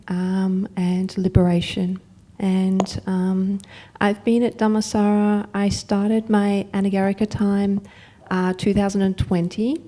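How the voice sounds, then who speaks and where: A woman in her forties, a nun from Australia, introducing herself to a retreat audience. She talks warmly and unhurriedly, pausing on fillers. Her voice sits at a middle pitch. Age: 40s. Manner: unhurried, hesitant, with many fillers. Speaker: Buddhist nun.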